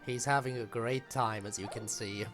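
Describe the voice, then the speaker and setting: A man giving casual, sardonic game playthrough commentary with deadpan quips. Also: mid-range voice, normal speed. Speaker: game streamer. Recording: game music behind the voice.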